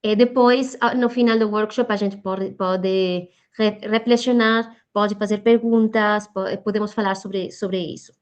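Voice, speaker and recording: A woman with a Spanish accent, Spanish as her first language, presenting a workshop talk as a guest media educator, pausing often with fillers as she searches for words in Portuguese. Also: medium pitch, fairly even intonation, dull audio.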